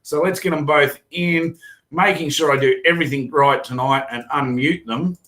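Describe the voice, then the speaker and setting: A man with an Australian accent hosting a casual fishing show, his voice hoarse, talking in a rambling, chatty way with many fillers and restarts. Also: fairly high pitch, moderate pace, a bit bright. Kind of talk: casual live stream chat.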